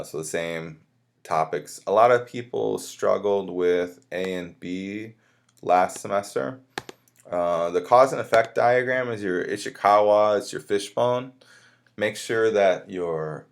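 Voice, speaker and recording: Deep-voiced man teaching informally. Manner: conversational, explanatory. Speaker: college instructor. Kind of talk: informal lecture walking students through assignments.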